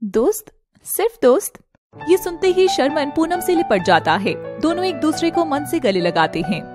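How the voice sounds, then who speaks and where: An Indian woman reads a scripted tale as its narrator. Her voice sits high, and music plays clearly behind her storytelling. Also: big pitch swings, moderate pace.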